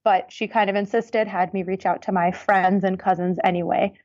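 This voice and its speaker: A woman guest in a casual interview, chatting in a relaxed way with frequent ums, over a muffled remote line. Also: mid-range voice, moderate pace, no room sound.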